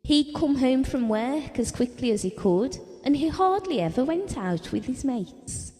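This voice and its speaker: An actress playing a gentle, devoted, self-doubting middle-aged British wife and mother, reminiscing softly in an audio drama. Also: sits high, lively intonation, unhurried. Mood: tender, wistful. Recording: reverberant hall-like room.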